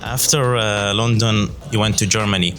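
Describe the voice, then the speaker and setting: Low-voiced male interview host. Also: normal speed, over music. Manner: calm, short acknowledgments.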